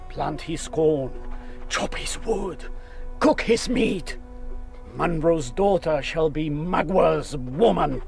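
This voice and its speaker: A proud, vengeful warrior chief in a radio drama, his voice fairly high for a man and very deliberate. He declaims solemnly and with bitterness, as music plays underneath. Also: gravelly, heavily acted. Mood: menacing, commanding. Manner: solemn, declamatory, speaks of himself in third person.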